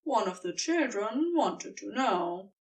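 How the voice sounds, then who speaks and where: A woman's voice: medium pitch, lively intonation, slow, distant mic. A woman reading scripted story narration as the narrator of an audio fiction piece.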